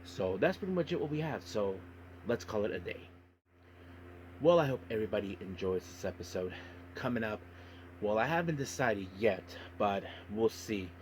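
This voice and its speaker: A man hosting a casual solo wrestling podcast, giving a news and review rundown in a halting way and stumbling over words. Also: lowish voice, animated, slight background noise, rough recording.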